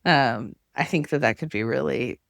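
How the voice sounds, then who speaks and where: A woman's voice: a bass voice, moderate pace, creaky, dry recording, studio quality. A woman on a political podcast panel, chatting casually and enthusiastically in a very informal way.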